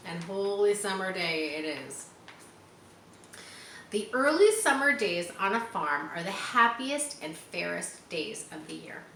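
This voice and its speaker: A woman reading a children's story aloud in a teacherly way, pausing to explain and chat to her listeners. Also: fairly low pitch, pushed and bright. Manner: teacherly, explains words and adds chatty asides. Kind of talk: children's audiobook reading with asides. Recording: roomy echo with faint background noise.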